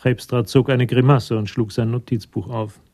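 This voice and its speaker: Male storyteller for radio drama, scripted narration, medium-pitched, relaxed tone with a gravelly edge. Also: normal speed, dry recording, clean studio sound.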